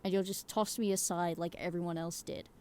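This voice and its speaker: A woman's voice: on the low side, steady tone, a little fast, darkish tone, close to an everyday voice. A woman voicing an insecure video game character who is anxious to please, speaking in a hesitant, earnest, confessional way. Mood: vulnerable, anxious.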